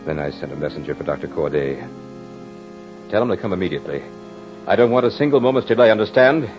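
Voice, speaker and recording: A man's voice: deep voice, animated, fast talker. An actor playing a brooding French nobleman and husband in an old-time radio horror drama, speaking in a formal, grave, theatrical manner. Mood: anxious, urgent. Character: brooding French nobleman husband, narrating his tale of dread. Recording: muffled old radio recording with music.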